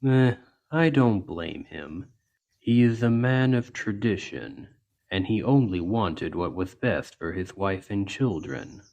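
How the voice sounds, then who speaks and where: A big orc healer acted out by a man speaks in a deep voice at a slow, measured pace. He is gentle and plain-spoken, with an earnest, reassuring manner. The delivery is that of a soothing fantasy ASMR roleplay, told to someone in his care. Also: animated, gravelly, heavily acted. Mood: wistful, calm. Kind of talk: ASMR roleplay, soft storytelling to an injured listener.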